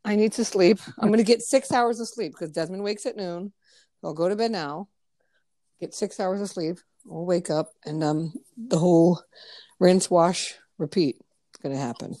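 A woman's voice: on the low side, normal speed, dark tone, no room sound. A woman hosting a podcast, chatting bluntly with frequent swearing. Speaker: podcast host. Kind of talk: casual podcast chat.

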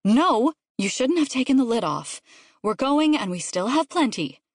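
A harried mother of young children, acted out by a woman reader. She is brisk, firm and scolding, in a dry audiobook sound. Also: fairly high pitch, very animated, no room sound, voice pushed up. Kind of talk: audiobook character voice.

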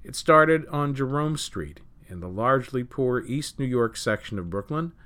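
A man reads a scripted article as a news program host, in a mid-pitched voice that moves up and down a great deal. His delivery is measured and story-like, leaning firmly on the openings of phrases. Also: a bit bright. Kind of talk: scripted narration, reading a written article aloud.

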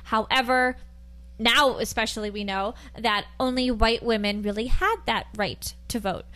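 A woman's voice: a little high, fairly even intonation, normal speed, bright, forceful tone. A woman co-hosting a podcast, chatting casually with lots of fillers. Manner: chatty, rambling with many fillers. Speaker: podcast co-host. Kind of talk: casual chat.

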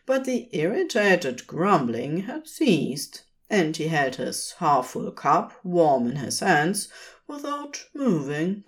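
A woman reads fiction in a slightly low voice with very lively intonation. Her delivery is slow and expressive, shaped as scripted story narration. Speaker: podfic reader.

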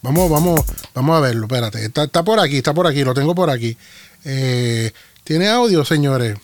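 A man's voice: medium pitch, animated, fairly quick, no echo, soft background music. A man with a Puerto Rican accent hosting live radio talk, rambling in a sing-song way with many restarts. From Puerto Rico. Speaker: radio show host.

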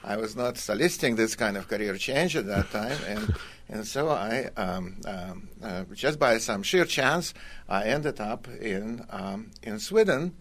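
A man's voice: on the low side, lively intonation, unhurried, fairly bright tone, a little hiss or hum. An older man from Russia with a Russian accent, telling his story in a hesitant, conversational way with wry, dry humour. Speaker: college academic guest. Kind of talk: conversational autobiographical storytelling in an interview.

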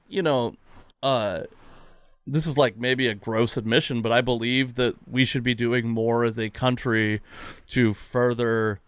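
A man's voice: mid-range voice, clear, resonant tone, dry recording, clean studio sound. A man in his thirties hosting a podcast in a casual, rambling conversational style. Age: 30s. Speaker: podcast host.

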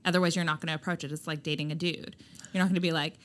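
A woman guest on a chat podcast talking candidly about founding her own skincare brand, loud and emphatic, with lots of "like" fillers. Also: sits low, flat delivery, racing. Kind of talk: candid conversational interview.

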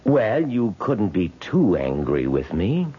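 An actor playing a coaxing American man in his twenties in a radio drama, talking slowly and teasingly. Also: deep voice, big pitch swings, breathy edge, exaggerated voice. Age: 20s. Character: smooth-talking 28-year-old man wooing a woman. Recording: muffled vintage radio broadcast.

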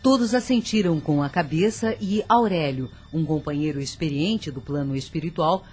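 A woman's voice: low-pitched, moderate pace, a little mellow, slight background noise. A woman narrating a radio drama from a script.

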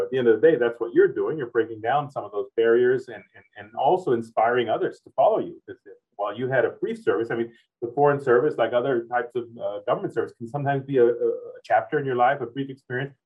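A man's voice: medium pitch, fast talker, slightly rough, distant mic. A man hosting an interview, asking long, rambling questions that loop back on themselves. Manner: rambling, loops back on his phrasing. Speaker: interviewer host. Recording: tinny phone-like sound, off-mic.

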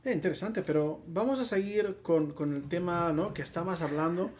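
A man from Spain, a podcast co-host, who asks the guest lively follow-up questions in casual conversation. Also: on the high side, normal speed. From Barcelona.

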